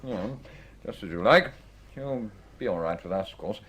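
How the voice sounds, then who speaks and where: An actor playing an affable hospital doctor in a radio drama, conversational and a little hesitant. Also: male voice, lowish voice, lively intonation, moderate pace, a bit bright, slightly rough, low-quality recording. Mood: matter-of-fact.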